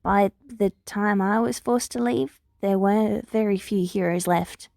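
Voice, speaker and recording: A woman's voice: mid-range voice, a little slow, vocal fry, a little mellow, no echo, studio quality. A woman voicing an earnest, wounded young heroine from the future in a story, speaking firmly and a little wryly. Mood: grave, factual. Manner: prompt, firm, wry.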